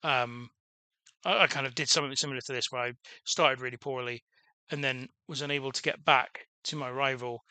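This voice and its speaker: A man's voice: mid-range voice, steady tone, fairly quick, sharp tone, no room sound. A man casually commenting on his own gameplay as a channel host, rambling with many restarts and fillers, and calling his own voice dull. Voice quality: self-described dull voice. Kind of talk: casual let's-play commentary.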